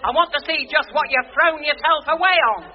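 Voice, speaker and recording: A woman playing a stern, sharp-tongued older sister who speaks in a clipped, scolding way. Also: high voice, brisk, close to an everyday voice. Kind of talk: 1980s radio sitcom acting. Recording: dull old radio recording.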